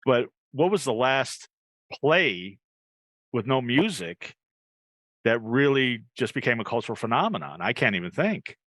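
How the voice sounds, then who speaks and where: A man's voice: medium pitch, sing-song, a little slow, fairly bright tone, no echo. A man hosting a podcast with casual banter, musing aloud and tossing in joking asides. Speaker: podcast host.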